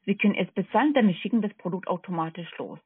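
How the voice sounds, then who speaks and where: Foreign accented woman on a tinny phone line, non-native german, bit husky, call centre sales, keeps double checking stuff. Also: medium pitch, animated, fast talker, dry recording. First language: not German. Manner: hesitant, checking and repeating details.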